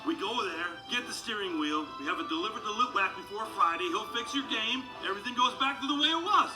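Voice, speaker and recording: Man as high-pitched, blustery cartoon strongman. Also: animated, quick pace, rough recording. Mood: eager, upbeat. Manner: loud, blustery, exclamatory. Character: big, clumsy, excitable video-game strongman, loyal friend. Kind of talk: animated film character acting. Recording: music behind the voice.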